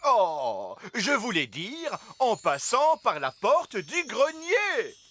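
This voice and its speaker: A man's voice: very high-pitched, wildly swooping pitch, takes it slowly, full character voice. A man playing a genial, pompous fairy king and father in a children's cartoon, regal and blustery. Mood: genial, commanding. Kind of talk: animated children's cartoon acting. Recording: loud music behind.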